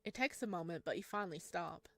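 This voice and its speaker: A woman's voice: fairly low pitch, fast talker, a bit bright. A woman playing a visual novel, reading its narration aloud and adding informal, giggly asides of her own. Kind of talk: game playthrough, reading aloud with chatty reactions. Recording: music behind the voice. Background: game music.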